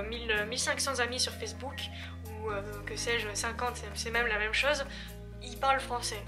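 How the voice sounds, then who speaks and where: An eighteen-year-old woman from Belgium talks casually about her exchange year, stopping often on fillers and restarting her sentences. Her voice sits a little high. Music plays clearly under her words. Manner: hesitant, many fillers and restarts. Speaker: exchange student. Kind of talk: casual vlog talk to camera.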